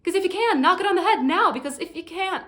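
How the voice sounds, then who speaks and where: A woman's voice: very high-pitched, lively intonation, fast talker, a bit bright. A woman performing a dramatic monologue as a blunt, exasperated scold with a sarcastic, cutting manner. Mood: harsh. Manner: sarcastic, cutting, foul-mouthed.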